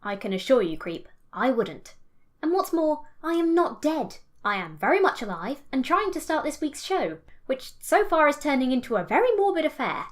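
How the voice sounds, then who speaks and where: A long-suffering digital sprite hostess, played by an actress, in an audio comedy. Her voice is high and very animated, with a sensible, exasperated manner. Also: normal speed. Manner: exasperated, matter-of-fact. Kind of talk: scripted audio comedy acting.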